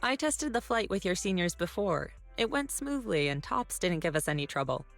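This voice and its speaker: A woman playing a composed, protective martial arts mentor in a video game, speaking in a measured, reassuring way. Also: mid-range voice, big pitch swings. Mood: calm, reassuring. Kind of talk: video game story dialogue. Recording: game music under the voice.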